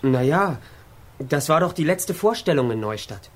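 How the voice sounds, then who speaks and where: A man's voice: a little high, lively intonation, normal speed, slight background noise, natural voice. An actor playing an earnest, fond young circus boy in a children's audio drama. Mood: wistful.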